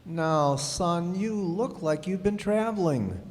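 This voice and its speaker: Kindly, hesitant country husband played by a man, radio drama, higher male voice, leisurely pace. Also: lively intonation, takes it slowly, a little mellow. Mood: gentle, hesitant. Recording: echoey hall.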